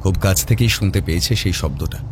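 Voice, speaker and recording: A man's voice: deep voice, quick pace, slight background noise. A man narrating a horror mystery story in a dramatic, suspenseful, theatrical way. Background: prominent background music.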